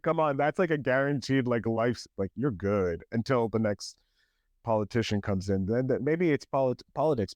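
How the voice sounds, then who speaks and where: A man's voice: medium pitch, darkish tone, dry recording. A man hosting a podcast interview, talking casually with plenty of fillers and restarts as he hypes up his guest. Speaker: podcast host.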